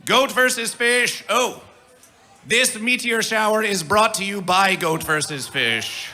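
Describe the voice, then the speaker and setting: A man working as a festival emcee, declaiming grandiose mock-prophetic hype from the stage in a drawn-out, theatrical way. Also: high-pitched, bright, forceful tone.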